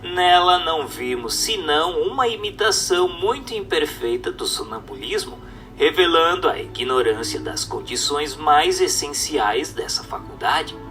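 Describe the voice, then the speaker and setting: A man reads a formal text aloud as scripted narration, in a measured, didactic manner. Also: on the high side, lively intonation, pushed and bright. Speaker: narrator reading a book aloud. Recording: distant mic with music underneath. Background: music.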